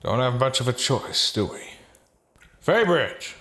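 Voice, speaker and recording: A man playing a seasoned, gruff adventurer sidekick in an audio drama, with a dry, sardonic manner. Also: mid-range voice, very animated, a little slow, pushed and bright. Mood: resigned.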